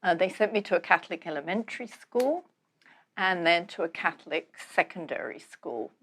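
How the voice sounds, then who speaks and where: A woman in her sixties with a London accent and Polish as her first language, calmly recounting her life as a guest author in an interview. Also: on the low side, normal speed. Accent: London English. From London, England. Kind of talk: relaxed interview storytelling.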